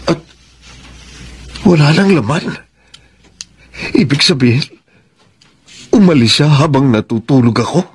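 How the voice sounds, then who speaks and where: Heartbroken Filipino husband, wide swooping pitch. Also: male voice, lively intonation, a little slow, long pauses, breathy edge, exaggerated voice. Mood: puzzled, uneasy. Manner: melodramatic, emphatic. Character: anguished husband pouring out his heartbreak in confession. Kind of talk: Filipino radio drama acting.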